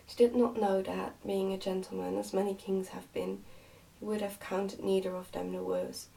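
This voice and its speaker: A woman reading a storybook aloud as soft ASMR narration. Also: medium pitch, level tone, moderate pace, gravelly, far from the mic, poor audio. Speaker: ASMR reader.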